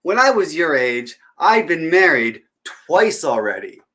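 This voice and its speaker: A man's voice: on the high side, sing-song, a little slow, bright, forceful tone, full character voice. A man voicing a bossy, fed-up older queen from a storybook, scolding her son with theatrical emphasis. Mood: exasperated, scolding. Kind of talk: storybook character voice.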